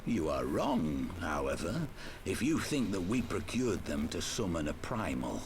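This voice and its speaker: Deep-voiced, defiant rebel fighter, noisy audio. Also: male voice, big pitch swings, unhurried. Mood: grave, candid. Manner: grave, declamatory, scornful. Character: proud, defiant resistance fighter posing as rebel leader. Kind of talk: video game cutscene voice acting.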